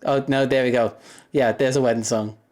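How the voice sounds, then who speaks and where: A young man with a deep voice talks loosely to his viewers on a livestream. He rambles from one thought to the next and breaks into laughter often. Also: fairly even intonation, a little fast, darkish tone. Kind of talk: casual chat with viewers.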